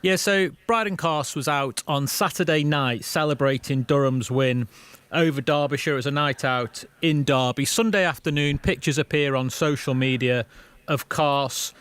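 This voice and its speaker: A man's voice: on the high side, moderate pace, a bit bright, clear, resonant tone, no room sound. A man giving a spoken sports news report within a radio discussion.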